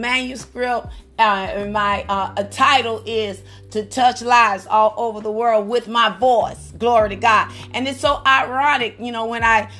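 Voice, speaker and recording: A woman minister preaching fervently on a prayer call, with a slightly raspy voice and frequent bursts of praise. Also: on the high side, a bit bright. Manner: fervent, repeats praise phrases.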